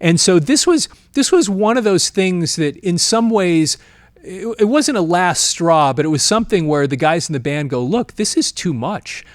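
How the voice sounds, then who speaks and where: A man's voice: sits high, lively intonation, normal speed, no room sound, clean studio sound. A man hosting a music history show, telling stories informally and reading quotes aloud in a chatty, often amused way.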